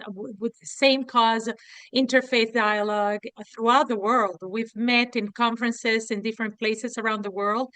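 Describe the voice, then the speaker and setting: Clear-voiced woman presenting earnestly. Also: a little high, fairly bright tone, no room sound. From Venezuela and Lebanon. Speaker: guest speaker. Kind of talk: formal presentation.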